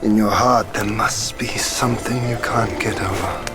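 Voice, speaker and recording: A man playing a weary, brooding warrior in a video game cutscene, speaking gravely and deliberately. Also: fairly low pitch, steady tone, lightly acted. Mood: somber, resigned. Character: weary, brooding male warrior resigned to a fated fight. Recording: loud music behind the voice. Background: loud cinematic music.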